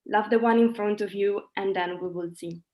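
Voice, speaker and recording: A woman's voice: medium pitch, steady tone, normal speed, a little mellow. A woman in her twenties from Italy giving an earnest, reflective personal testimony as a student. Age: 20s. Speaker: student speaker. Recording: echoey room.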